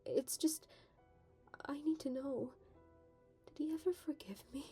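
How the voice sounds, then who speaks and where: A woman's voice: sits high, measured pace, mellow. Weary, guilt-ridden male war leader voiced by a woman. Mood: drugged, remorseful. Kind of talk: scripted comic dub acting. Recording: faint music behind.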